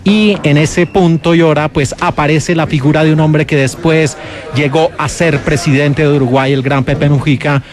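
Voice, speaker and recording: A Colombian man hosts a radio film countdown as a critic. His fairly high voice is explanatory and emphatic as he presents each entry. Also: steady tone, moderate pace.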